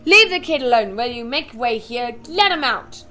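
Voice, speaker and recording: Woman doing a fat, excitable shopkeeper man. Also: normal speed. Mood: firm. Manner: shouting excitedly, high and loud.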